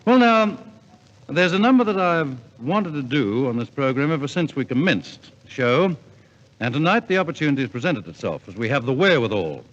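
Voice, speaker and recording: A man's voice: on the high side, very animated, brisk, fairly bright tone. A man compering a 1950s live radio variety show in a genial, formal showman's manner. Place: concert hall stage. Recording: muffled 1950s radio recording, audience sounds.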